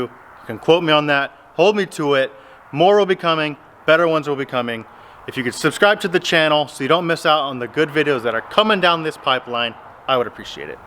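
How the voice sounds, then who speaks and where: 30s texas guy, farm vlog on a phone held out, slightly high voice, a bit of background hum. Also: pushed and bright. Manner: easygoing, rambling, explains step by step. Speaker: farmer vlogger. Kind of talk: casual vlog talk to camera. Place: large open metal barn with tractors. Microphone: handheld phone at arm's length.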